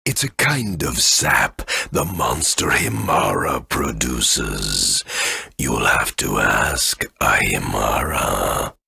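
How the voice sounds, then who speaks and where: An extremely low, husky ancient tree spirit, kindly and forgetful, for a video game. The delivery is slow and halting, swinging widely in pitch as he ponders. The sound is dry and close. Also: sing-song, sharp tone, dry recording, full character voice. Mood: instructive. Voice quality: deep gravelly, husky. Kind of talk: video game character acting.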